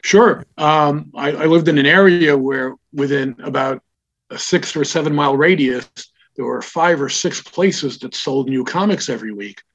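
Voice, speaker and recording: A man's voice: fairly high pitch, fairly bright tone. An older man born in Israel, a comic store owner, telling stories from his past in a wry, reflective, casual interview. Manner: reflective, wry, unhurried storytelling. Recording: dull lo-fi remote audio.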